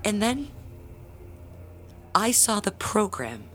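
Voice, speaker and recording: A woman playing a proud, jealous parishioner and bell ringer in an audio drama, speaking in a pompous, theatrical, halting way. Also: mid-range voice, big pitch swings, slight background noise. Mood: indignant, wounded pride.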